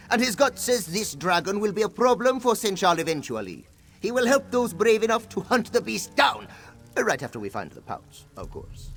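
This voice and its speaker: A man voicing a boastful, theatrical cat-man pirate captain in a video game, honorable and given to speaking of himself in the third person. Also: fairly high pitch. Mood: confident, wry.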